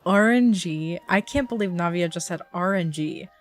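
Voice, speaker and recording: A woman's voice: fairly low pitch, normal speed, faint music behind. A woman livestreaming a game, reacting casually and expressively, often muttering to herself. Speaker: live streamer.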